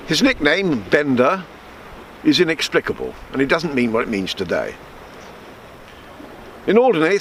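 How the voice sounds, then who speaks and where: A man reading his own written story aloud in a measured storytelling manner, with small stumbles and self-corrections. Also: fairly high pitch, fairly bright tone, a little hiss or hum.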